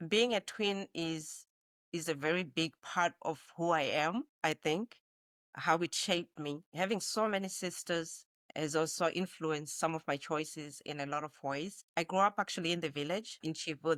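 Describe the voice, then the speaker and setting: A woman's voice: sits low, unhurried, a bit bright, no room sound. A woman in her forties from Zimbabwe, a nurse practitioner speaking reflectively as an interview guest. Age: 40s. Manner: reflective, with frequent restarts. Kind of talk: conversational interview.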